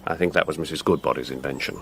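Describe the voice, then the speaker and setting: An actor playing an aloof, exacting artist in a radio drama, curt and offhand. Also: male voice, extremely low pitch, racing, a bit bright, slight background noise. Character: aloof, exacting male artist, coolly self-absorbed.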